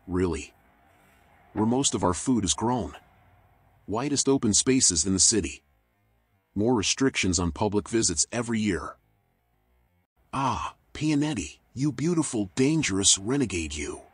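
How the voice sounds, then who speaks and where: A man voicing a world-weary raccoon private eye in a noir game, wry, sardonic and deadpan. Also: on the low side, husky, dry recording. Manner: dry, wry, deadpan muttering. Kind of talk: noir game voice acting, internal monologue and dialogue.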